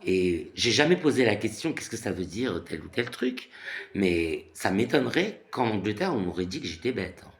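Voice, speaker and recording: A man's voice: fairly low pitch, big pitch swings, normal speed. A man in his thirties from France, ranting casually in a rambling monologue full of fillers and repeated phrases. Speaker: vlogger.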